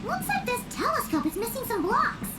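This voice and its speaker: A woman voicing a small, excitable, childlike fairy creature in a video game, cute and earnest. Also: very high voice, normal speed, loud music behind the voice. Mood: uneasy.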